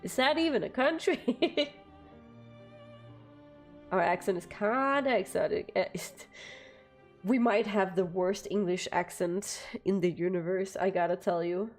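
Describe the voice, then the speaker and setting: A woman's voice: medium pitch, a little slow, long pauses, faint music behind. A young woman from Denmark with a light Danish accent, chatting casually with viewers on a live stream and laughing often between phrases.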